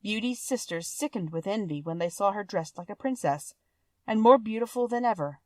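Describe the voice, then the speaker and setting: A woman's voice: medium pitch, animated, normal speed, no echo. A woman reading a classic fairy tale aloud as scripted storybook narration.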